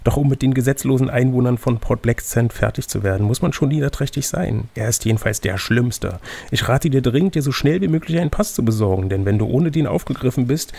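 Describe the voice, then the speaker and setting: A man voicing a suspicious, mercenary city gatekeeper in a gamebook, with a gruff, warning way of bargaining. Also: medium pitch, lively intonation, brisk, hardly any pauses, darkish tone. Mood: stern warning.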